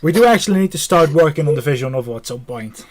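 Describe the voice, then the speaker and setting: A man chatting casually on a stream in a somewhat halting, hesitant way. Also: fairly high pitch, expressive. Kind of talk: casual chat.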